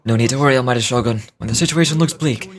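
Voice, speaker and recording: A man's voice: medium pitch, rapid-fire, natural voice. A man playing a devoted, gallant samurai soldier in a video game, speaking formally and earnestly, as if declaiming. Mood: resolute. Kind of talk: dramatic reading of video game dialogue.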